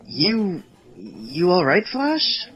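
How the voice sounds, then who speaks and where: A man's voice: high voice, wildly swooping pitch, very slow, fairly bright tone, highs cut off, voice pushed up, heavily acted. A man voicing a cocky, joking pegasus royal guard who teases and grumbles, in a fan fiction reading. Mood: concerned.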